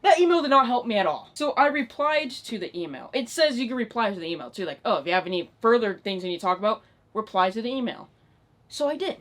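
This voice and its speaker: A nineteen-year-old woman ranting in a casual, unscripted vlog style, exasperated and emphatic, with plenty of swearing. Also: a little high. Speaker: online video creator.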